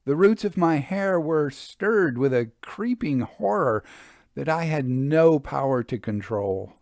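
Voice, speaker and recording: Slow, dramatic male ghost-story narrator. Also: a little high, expressive, darkish tone, no echo, clean studio sound. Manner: deliberate, theatrical, suspenseful delivery with dramatic pauses.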